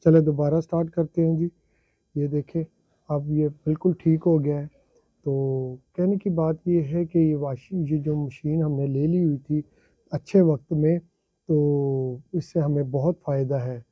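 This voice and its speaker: A man's voice: a little high, steady tone, unhurried, husky, no echo, narrow, tinny audio. A middle-aged man in a home garage, chatting to viewers as he walks them step by step through a car wash, recorded on a phone. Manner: chatty, explaining step by step, addressing viewers politely. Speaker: vlogger. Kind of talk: casual how-to narration.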